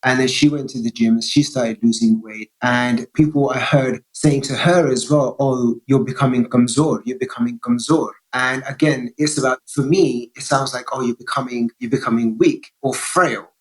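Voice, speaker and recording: A man guesting on a podcast, talking in a relaxed, reflective way and explaining with examples and frequent check-ins with the listener. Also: mid-range voice, normal speed, hardly any pauses, rough recording. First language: English. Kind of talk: conversational interview.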